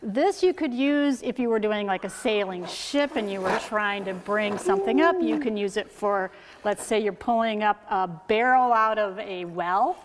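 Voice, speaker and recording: A woman's voice: mid-range voice, lively intonation, normal speed. A woman giving an informal, step-by-step demonstration as a sound effects technician. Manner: instructive, step-by-step explaining. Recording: echoey hall with clear background noise.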